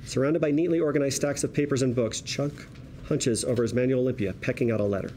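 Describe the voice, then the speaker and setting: Male table-read narrator, warm relaxed tone, measured descriptive delivery of stage directions, faint background noise. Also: mid-range voice.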